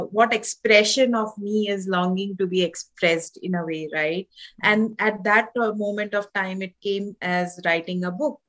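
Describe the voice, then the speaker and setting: A woman from India with an Indian accent, a holistic doctor and author in her thirties, answering interview questions with many pauses and restarts. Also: medium pitch. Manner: hesitant, many fillers and restarts. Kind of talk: podcast interview guest.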